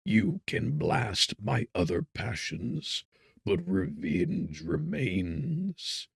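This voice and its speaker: Slow, rasping, vengeful monster. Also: male voice, medium pitch, sing-song, dry recording, clean studio sound, pitched above the performer's own voice, full character voice. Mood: vengeful despair. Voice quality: smothered, rasping, breathy. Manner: slow, drawn-out, grandiose threats. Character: wretched, vengeful created monster, menacing and bitter. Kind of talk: dramatic gothic audiobook acting.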